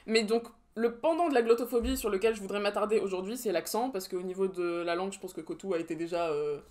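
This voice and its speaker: A woman's voice: mid-range voice, fast, a little mellow. A young woman co-hosting a podcast, talking through prepared points in casual chat with a dry, ironic edge. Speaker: podcast co-host.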